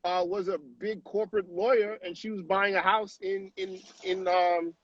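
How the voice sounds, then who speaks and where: A man in his late thirties from Haiti, a guest telling stories in a casual, animated way over a video call, often tagging on questions. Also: sits high, moderate pace, lo-fi sound. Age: 30s. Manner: animated, with frequent tag questions. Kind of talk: casual storytelling on a live stream.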